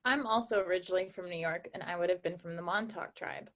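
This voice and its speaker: A woman's voice: lowish voice, quick pace, a bit bright. A young woman presenting a workshop, cheerfully explaining each craft step as she works. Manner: upbeat, explains steps while working. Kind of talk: instructional craft demonstration.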